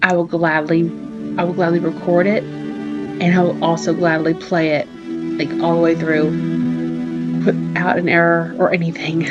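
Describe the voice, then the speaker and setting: A woman hosting a casual visual novel playthrough, talking informally and enthusiastically with slangy, drawn-out emphasis. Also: fairly low pitch, little pitch movement. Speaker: gaming channel host. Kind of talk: casual visual novel playthrough commentary, reading text aloud. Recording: dull lo-fi mic, music behind. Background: game music.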